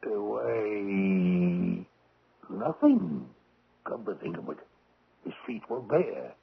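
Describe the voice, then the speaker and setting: An actor playing a humble, deferential peasant shepherd who speaks haltingly in a radio drama. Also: male voice, on the low side, sing-song, gravelly, breathy edge, heavily acted. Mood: sheepish. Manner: hesitant, humbly respectful, explains haltingly. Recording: dull 1970s radio drama recording.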